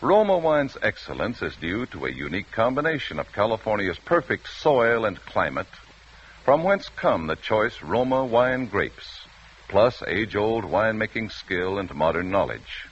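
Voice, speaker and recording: A man's voice: fairly low pitch, very animated, a little slow, slightly rough. An American man reading a 1940s radio advertisement as announcer, with polished, emphatic salesmanship. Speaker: commercial announcer. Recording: dull vintage radio recording.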